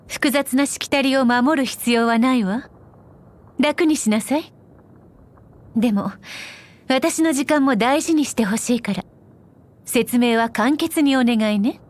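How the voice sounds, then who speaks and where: A refined, wealthy lady of power from an anime game. Her voice sits a little high and moves very slowly, each phrase deliberate. She sounds poised and shrewd, with an elegant, teasing composure. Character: poised, shrewd, wealthy noblewoman trader and ruler. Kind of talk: scripted anime game character voice lines.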